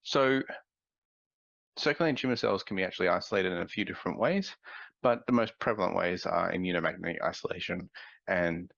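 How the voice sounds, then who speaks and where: Low, gravelly man with a cold. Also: fairly bright tone, dry recording, lo-fi sound. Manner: measured, explanatory, with frequent ums. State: nasal from a cold. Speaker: researcher presenting a talk. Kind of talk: scientific conference presentation.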